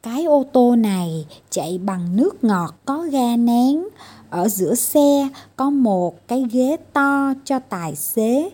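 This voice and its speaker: Người phụ nữ kể chuyện, giọng hơi khàn, chậm rãi. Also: female voice, a little high, lively intonation, slow, mellow. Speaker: storyteller. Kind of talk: scripted children's fairy-tale narration.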